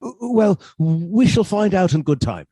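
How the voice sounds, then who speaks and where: High-voiced, expressive scheming professor played by a man. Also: unhurried, breathy edge, no echo, clean studio sound, heavily acted. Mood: jovial, evasive. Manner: formal, old-fashioned turns of phrase, hesitant when flustered. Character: henpecked professor husband, secretly scheming wife-killer, outwardly mannerly. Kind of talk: radio suspense play acting.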